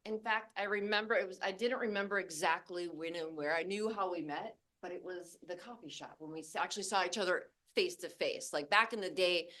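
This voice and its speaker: A woman presenting to a live audience in a loud, chatty, laughing way, speaking into a handheld microphone. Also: lowish voice. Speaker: cannabis breeder and presenter. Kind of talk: casual talk to a live audience. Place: event venue with live audience.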